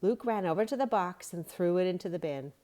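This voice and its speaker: A woman with a slightly low voice reads a children's storybook aloud as a storyteller. She also teaches yoga along the way, using short, simple phrases suited to young children. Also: expressive, brisk, dark tone. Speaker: storyteller and kids yoga instructor. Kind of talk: read-along storybook narration with gentle instruction.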